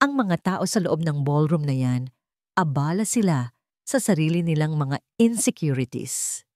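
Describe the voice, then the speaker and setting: A Filipino woman voicing a poised, guarded, mysterious hired companion in a romance audio drama, calm, measured and firm. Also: low-pitched, no echo, clean studio sound. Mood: calm, reassuring. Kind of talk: romance radio-drama storytelling.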